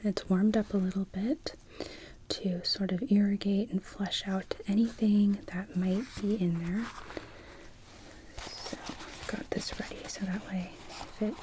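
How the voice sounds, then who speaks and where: A woman performing an ASMR medical roleplay as a doctor, gently narrating each step in a soft, breathy whisper, close to the microphone. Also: mid-range voice, little pitch movement, unhurried, noisy background. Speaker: ASMR performer playing an emergency room doctor.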